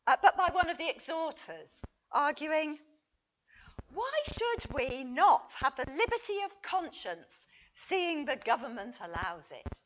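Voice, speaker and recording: A woman reading a history paper aloud as a conference speaker, expressive and emphatic, with a wry touch. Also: high voice, unhurried, lo-fi sound. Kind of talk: prepared lecture read aloud to an audience.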